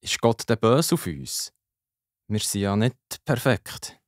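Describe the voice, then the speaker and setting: A man's voice: on the low side, sing-song, takes it slowly, no echo, studio quality. A man playing an earnest, plain-spoken follower among the Israelites in a children's Bible audio drama. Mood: worried.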